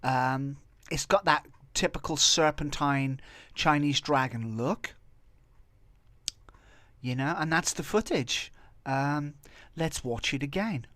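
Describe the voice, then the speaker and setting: A man's voice: on the high side, slow pace, a bit bright, no room sound. A man with a Welsh accent hosting a video, giving casual, rambling off-the-cuff commentary. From Wales. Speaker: video host commenting on viral clips and tabloid stories.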